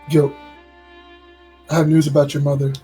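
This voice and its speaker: A man playing a tabletop game, unsure of himself and asking lots of questions, with a husky voice. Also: on the high side, mellow. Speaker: player.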